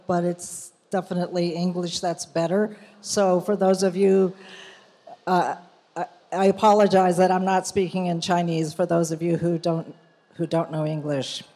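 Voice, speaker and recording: Slow-spoken woman speaker in a reverberant hall. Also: fairly low pitch, mellow. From United States. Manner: measured, storytelling, with fillers and restarts. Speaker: journalist and guest speaker. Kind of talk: prepared public talk. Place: hall at a commemorative event.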